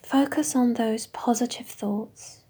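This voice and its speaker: A woman leading a guided meditation in a slow, soft, mostly whispered voice. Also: a little high, fairly even intonation, husky.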